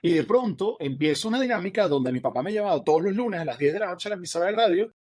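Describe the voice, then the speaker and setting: Middle-aged Venezuelan man, animated guest, brisk and slightly raised in pitch. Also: no echo, clean studio sound. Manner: animated, fast, acts out quoted dialogue. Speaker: guest, author. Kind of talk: storytelling interview answers.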